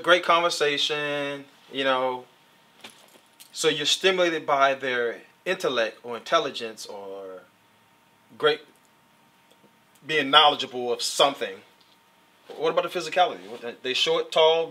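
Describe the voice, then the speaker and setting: A man's voice: on the high side, slow, pauses often. A man hosting a casual talk show, teasing his guest and asking frank questions. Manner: playful, teasing, jumps to loud impressions of friends.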